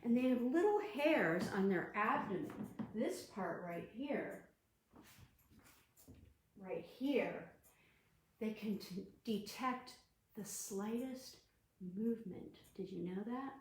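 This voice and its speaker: A woman children's librarian leading an online storytime, reading and leading movement games in an animated, sing-song way. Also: medium pitch, slow pace, pauses often. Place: library room. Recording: very echoey room.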